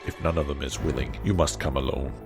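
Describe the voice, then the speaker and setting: A man voicing a frightful, furious male beast, speaking slowly and menacingly. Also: steady tone. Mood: grim. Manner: slow, menacing, deep growl. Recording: narration with music behind.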